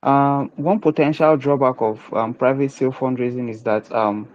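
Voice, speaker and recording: Man hosting an online Q&A, halting. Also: medium pitch, steady tone, dull audio. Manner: hesitant, many "um" fillers. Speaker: AMA host. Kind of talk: online crypto AMA questioning.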